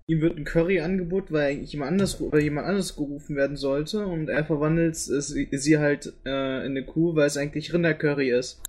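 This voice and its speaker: A man's voice: a little high, steady tone, brisk, hardly any pauses, a little mellow. A man chatting casually as a podcast co-host, with a playful, colloquial way of talking. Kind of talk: casual group chat.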